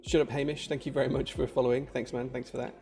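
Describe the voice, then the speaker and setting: Gravelly-voiced Yorkshire man chatting. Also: medium pitch, level tone, fast talker, mellow, slight background noise. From Yorkshire, England. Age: adult, married. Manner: chatty, rambling, many fillers and restarts. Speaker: maker livestreamer. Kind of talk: casual livestream chat with viewers. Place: workshop.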